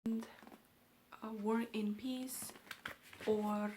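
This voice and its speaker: Soft-spoken woman. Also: on the high side, little pitch movement, extremely slow. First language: Romanian. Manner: soft, often half-whispered delivery. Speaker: book vlogger. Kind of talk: casual book collection vlog with read-out summaries. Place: home room lit by natural light. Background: background music.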